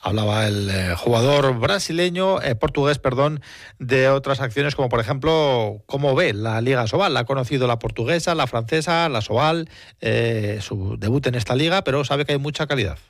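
A man's voice: mid-range voice, animated, moderate pace. Male sports radio presenter from Spain. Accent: Spanish from Spain. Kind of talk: sports news commentary linking interview clips.

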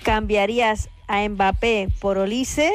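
A woman's voice: medium pitch, fairly even intonation, takes it slowly, faint music behind. A woman contributor giving a conversational report on a radio show. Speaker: radio show contributor presenting listener polls.

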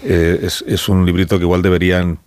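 Man, Spanish accent, deep voice, radio host, conversational panel moderation, halting rephrasing, dry close studio sound. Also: level tone, darkish tone, no echo. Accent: Spain. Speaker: radio talk show host.

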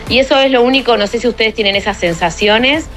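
A woman's voice: fairly high pitch, fast talker, fairly bright tone, faint music behind. A middle-aged Argentine woman calling in to a radio show, talking emotionally and effusively from the heart. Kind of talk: heartfelt personal talk on a live radio call.

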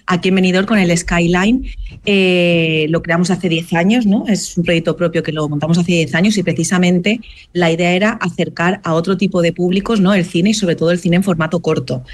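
A woman who organizes a film festival, talking in a friendly way with frequent tag questions. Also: fairly low pitch. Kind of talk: casual discussion.

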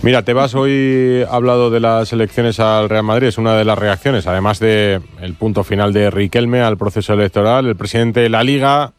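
A man, a sports reporter on a talk panel, speaking in a measured, explanatory way. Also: on the low side, steady tone, clear, resonant tone, dry recording. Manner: measured, explanatory, repeats words for emphasis.

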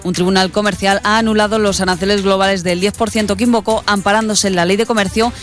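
Fast, high-pitched male news presenter. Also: steady tone, a bit bright, soft background music. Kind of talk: scripted news reading.

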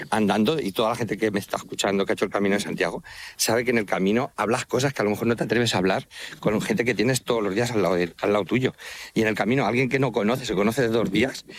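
A man from central Spain with a Manchegan accent talks casually as an interview guest. His voice sits a bit low, and he speaks with enthusiasm in halting, repeated phrases. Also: a little hiss or hum. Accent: Manchegan Spanish. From Castilla-La Mancha, Spain. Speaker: interview guest, walking pilgrim guide. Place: outdoors, walking along a trail by an estuary.

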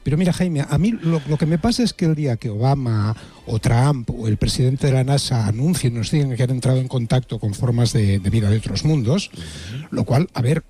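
A man's voice: medium pitch, animated, brisk, dark tone, slightly rough. A middle-aged man with a Spanish accent from Spain, giving wry, digressive, humorous commentary as a talk show contributor.

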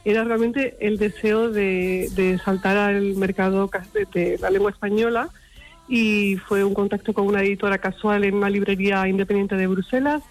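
A woman author and illustrator, interviewed remotely, speaking with many pauses and fillers. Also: medium pitch, steady tone, soft background music, poor audio. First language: Spanish. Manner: hesitant, many fillers and restarts. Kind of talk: radio interview by remote line. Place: remote from abroad.

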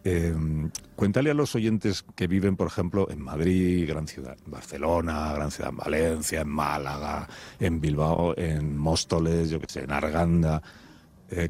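A man's voice: sits low, a little mellow. A man hosting a live radio show on location, interviewing villagers outdoors with playful questions. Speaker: radio host.

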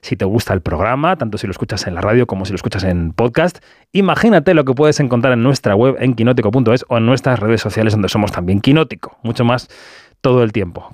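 A man's voice: medium pitch, fast talker, no room sound, studio quality. A man hosting a radio film show, speaking in an emphatic, opinionated way. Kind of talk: radio show monologue and interview.

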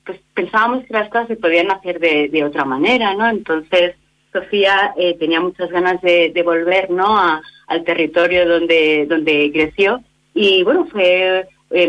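A woman's voice: on the low side, a bit bright, muffled recording. A woman from Barcelona, a social educator, explaining at length with many fillers and tag questions. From Barcelona, Spain. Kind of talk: radio interview.

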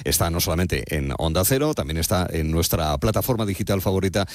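Low-pitched Spanish radio host, dry sound. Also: male voice, animated, quick pace, no echo. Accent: Spanish, from Spain. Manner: discursive, courteous, builds long questions with asides. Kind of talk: conversational radio interview.

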